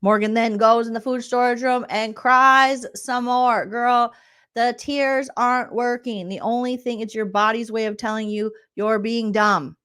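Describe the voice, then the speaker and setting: Woman comedian, snarky recap host, mocks the cast with quick impressions. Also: fairly high pitch, steady tone, a bit bright, clean tone. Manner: mocking, jumps into exaggerated character voices. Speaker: comedian recapping a reality show.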